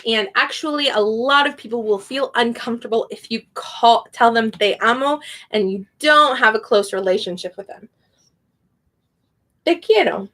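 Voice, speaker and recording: Woman, young adult, casual teacher, talkative, laughs a lot, slightly high pitch. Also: normal speed, fairly bright tone. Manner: chatty, goes off on tangents, laughs often. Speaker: Spanish teacher. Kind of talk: casual spoken lesson.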